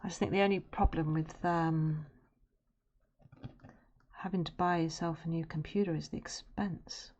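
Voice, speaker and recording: A woman with a naturally low voice, chatting casually and rambling to her live-stream viewers while she draws. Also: steady tone, normal speed, pauses often, a little mellow. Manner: chatty and rambling, drifting into murmurs and humming while she works. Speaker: streamer and artist. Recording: dull lo-fi stream audio.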